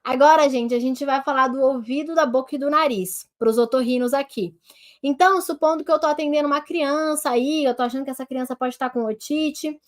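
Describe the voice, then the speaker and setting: A Brazilian woman doctor gives an online lesson, her voice pitched high and a little nasal from a head cold. She talks straight to her viewers in a lively, hands-on manner while demonstrating on herself. The sound comes through a simple webcam. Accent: Brazilian Portuguese. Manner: lively, addresses viewers directly as a group. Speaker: doctor teaching telemedicine.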